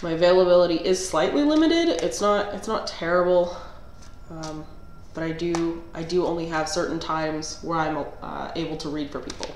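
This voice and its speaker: A woman's voice: fairly low pitch, normal speed, darkish tone, echoey room, a little hiss or hum, rough recording. A woman doing a casual tarot reading who sounds slightly nasal and under the weather, thinking aloud with fillers and restarts. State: under the weather, clearing throat. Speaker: tarot reader.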